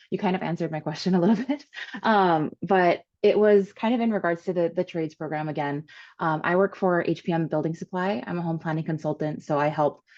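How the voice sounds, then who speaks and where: A low-voiced woman from Hawaii comes through dull, muffled video call audio. She asks a long, earnest question with a lot of appreciation, rambling as she goes. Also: a little mellow. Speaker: meeting participant.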